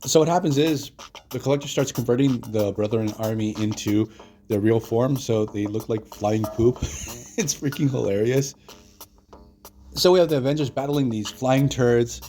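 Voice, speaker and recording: A hoarse man hosting his own channel, retelling a comic story in a loose, chatty way with lots of fillers. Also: mid-range voice, normal speed, warm tone, faint music behind. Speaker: comic book channel host. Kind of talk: casual solo video commentary.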